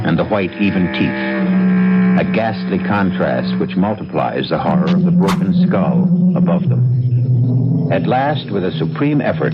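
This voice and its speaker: Casting: grave radio mystery narrator, very low voice, deliberate and dramatic, loud music beneath, muffled broadcast sound. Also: male voice. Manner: dramatic, ornate, deliberate phrasing. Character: grave, ominous horror storyteller of radio mystery. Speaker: host and narrator.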